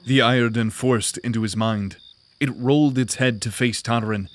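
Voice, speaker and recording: A man narrating a fantasy audio drama in a measured, descriptive storytelling manner. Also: medium pitch.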